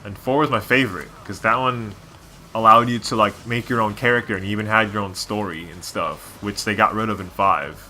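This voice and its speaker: A man's voice: lowish voice, steady tone, fairly quick, sharp tone, a little hiss or hum. A man doing casual gameplay commentary as a co-host, rambling and opinionated like a devoted fan. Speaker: gaming video co-host.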